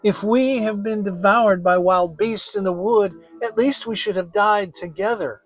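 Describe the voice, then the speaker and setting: Storybook little girl, acted by a man: high, earnest, pleading, gentle-hearted, unhurried. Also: faint music behind. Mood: tearful pleading. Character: frightened but clever little girl, earnest and kind. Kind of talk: dramatic fairy tale reading.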